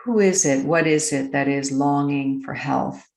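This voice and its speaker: Low, creaky-voiced woman guiding meditation. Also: reverberant. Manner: gentle, deliberate, with long pauses. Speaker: guided meditation coach. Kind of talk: slow, unscripted guided meditation.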